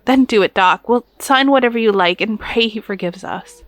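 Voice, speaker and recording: A woman's voice: medium pitch, normal speed, no room sound, lightly acted. An actress playing a worried but resolute woman in an audio drama, earnest and insistent. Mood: anxious resolve. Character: worried, resolute female partner of a patient.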